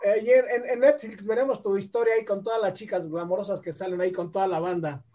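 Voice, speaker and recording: A man's voice: sits high, fairly even intonation, fast talker, mellow. A man co-hosting a radio show, joking around and reading out listener greetings. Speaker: radio co-host. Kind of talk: radio interview chat.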